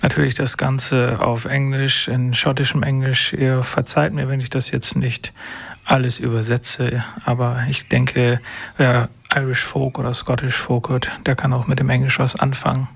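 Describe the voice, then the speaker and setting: A man introduces an interview in a flat, matter-of-fact tone. His voice is gravelly with a husky edge. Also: mid-range voice, normal speed. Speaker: radio presenter. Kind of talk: spoken introduction in German.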